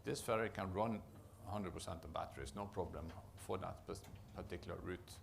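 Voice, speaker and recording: Deep gravelly Norwegian man presenting. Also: slight background noise. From Norway. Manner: halting, often restarts and repeats words. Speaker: project manager presenting at a conference. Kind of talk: conference presentation.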